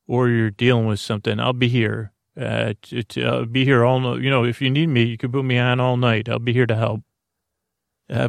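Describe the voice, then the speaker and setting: A man hosting a bedtime podcast, talking slowly and rambling with many fillers in a soothing, creaky voice. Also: medium pitch, dry recording, clean studio sound. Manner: slow, rambling, full of uh fillers. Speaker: podcast host. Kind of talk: meandering bedtime monologue.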